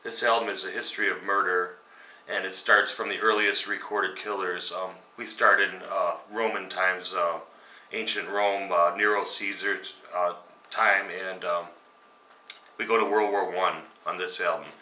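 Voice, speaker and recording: A man's voice: deep voice, fairly even intonation, moderate pace, bright, forceful tone. A man in his forties, a metal band singer, answering interview questions in a casual, rambling way with lots of ums, sitting at a table at home. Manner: casual, rambling, many ums, chuckles at grim stories. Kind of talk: relaxed conversational interview answers. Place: dining room at home, indoors. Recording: echoey room, voice a bit distant.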